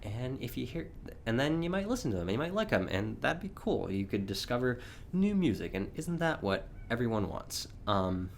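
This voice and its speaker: A slightly hoarse young man hosting a casual solo podcast, rambling chattily with frequent chuckles. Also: mid-range voice, big pitch swings, moderate pace, slight background noise. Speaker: podcast host.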